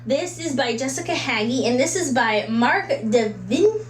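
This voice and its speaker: A woman's voice: on the high side, animated, a little slow. A woman giving casual, chatty book recommendations in a conversational way, slipping into little acted-out asides. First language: English. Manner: conversational, acts out little imagined quotes and asides. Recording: roomy echo with faint background hum.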